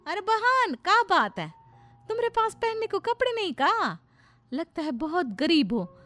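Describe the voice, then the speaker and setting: A woman's voice: extremely high pitch, very animated, measured pace, a bit bright, faint music behind, pitched above the performer's own voice, full character voice. A woman voicing a nosy small-town woman in a cartoon story, pitying and condescending.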